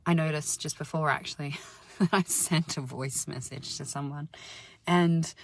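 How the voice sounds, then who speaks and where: A woman with a deep, husky voice giving a slow, soothing spiritual self-help talk, sometimes dropping into soft whispers. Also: no echo. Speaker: spiritual healer and channel host.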